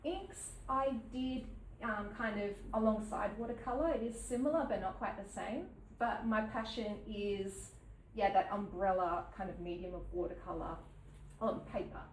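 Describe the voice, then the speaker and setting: An Australian woman in her thirties from New South Wales gives an unscripted artist talk, halting often with ums. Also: mid-range voice. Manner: halting, with many ums and soft laughs. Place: gallery event space with an audience. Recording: roomy, from some distance, faint background noise.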